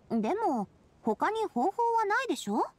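A woman's voice: very high voice, sing-song, normal speed, darkish tone, no room sound. A woman voicing a confident, plucky young woman adventurer in an anime-style game, assured and persuasive. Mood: matter-of-fact.

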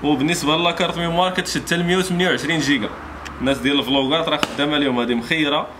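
A man's voice: fairly high pitch, steady tone, very fast. A man in his thirties with a Moroccan accent presenting products informally in a shop, with repetitive salesman patter. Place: small motorbike accessory shop, indoors. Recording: clearly noisy shop ambience.

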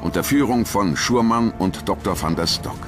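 A man's voice: lowish voice, moderate pace, over music. A man narrating a documentary in a scripted style.

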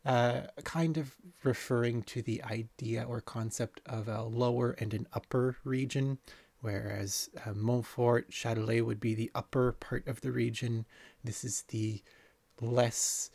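A man in his thirties casually narrating a tour of his city-builder game, relaxed and rambling with plenty of ums and uhs. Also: medium pitch, unhurried, vocal fry, no echo. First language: not French. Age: 30s.

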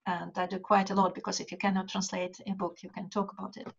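An older woman from Russia, a writer and translator whose first language is Russian, speaking English with a Russian accent in a measured, hesitant way on a video call from her home study. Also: mid-range voice, completely flat delivery, vocal fry, slightly rough. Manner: measured, thoughtful, many hesitations. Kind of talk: conversation over video call. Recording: dull lo-fi video call audio.

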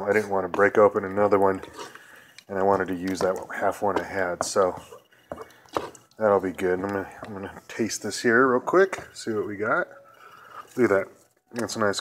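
A man's voice: lowish voice, a little hiss or hum. A middle-aged man hosting a casual home cooking video, talking through each step in a rambling, folksy way with lots of fillers. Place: home kitchen counter, indoors. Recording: home kitchen with loud cookware clatter. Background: clattering pots, pans and cans.

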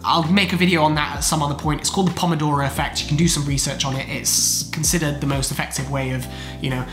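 A man's voice: fairly high pitch, steady tone, quick pace. A young man in his twenties, congested from a chest infection, talking casually to camera in a home study room about his weekly routine, gesturing a lot. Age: 20s. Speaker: medical student vlogger. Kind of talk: casual talking-head vlog. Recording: roomy, with background music.

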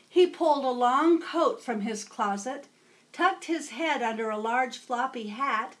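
Female storybook reader, middle-aged, unhurried and expressive, for young children, recorded in a home room. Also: fairly high pitch. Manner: measured, storytelling. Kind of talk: expressive read-aloud for children. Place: small home room with bookshelves.

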